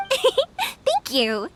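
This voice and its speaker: A woman's voice: very high-pitched, wildly swooping pitch, drawn-out words, a little hiss or hum, exaggerated voice. A woman voicing a bubbly, helpful, chatty young fireworks maker in a video game. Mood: cheerful, chatty. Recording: game music behind, phone-recorded footage. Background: game background music.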